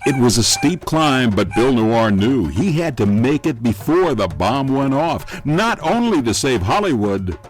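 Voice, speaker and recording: A man's voice: mid-range voice, very animated, a little slow, hardly any pauses, soft background music. A man playing a mock-grave, tongue-in-cheek narrator of a campy cliffhanger radio serial. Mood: dramatic. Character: over-the-top male cliffhanger announcer, mock-grave and wry.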